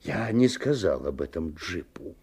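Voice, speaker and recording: An actor playing a wary, observant father who narrates a strange tale expressively, with theatrical pauses. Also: low-pitched, big pitch swings, a little mellow, slightly rough, heavily acted. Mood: wondering, uneasy. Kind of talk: dramatic literary narration. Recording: 1970s audio play, music behind.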